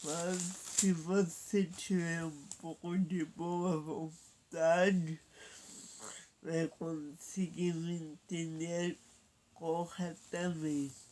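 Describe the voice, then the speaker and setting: A man preaching a Bible sermon. He has a cold, so his voice is nasal and stuffy, and he speaks in a deliberate way, pausing between phrases. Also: fairly high pitch, level tone, darkish tone, a little hiss or hum. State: has a cold, blocked nose.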